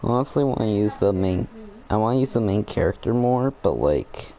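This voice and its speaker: Low, gravelly man playing games, lively intonation. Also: normal speed. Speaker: gaming video host. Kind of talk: casual let's play commentary.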